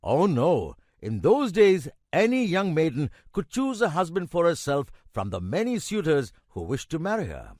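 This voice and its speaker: Very animated storytelling grandfather. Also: fairly high pitch, dry recording, clean studio sound. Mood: patient storytelling. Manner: measured, expressive, emphatic pauses. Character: kindly old grandfather telling legends to grandson. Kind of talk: children's storytelling narration.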